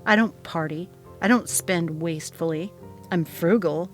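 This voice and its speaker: A woman's voice: on the low side, unhurried, faint music behind, lightly acted. A woman voicing an earnest, responsible young adult son in a dramatized story, sober and restrained in manner. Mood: frank, resentful.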